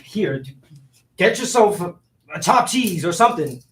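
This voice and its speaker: A man's voice: high-pitched, sing-song, normal speed, a bit bright. A man acting a flustered, evasive young womanizer with a stammering, exasperated delivery in a staged play reading. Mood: placating. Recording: roomy echo, distant mic.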